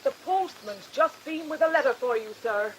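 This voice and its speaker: Dutiful, homely housekeeper. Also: female voice, sits high, lively intonation, moderate pace, heavy background noise. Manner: deferential, helpful. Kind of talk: radio drama acting.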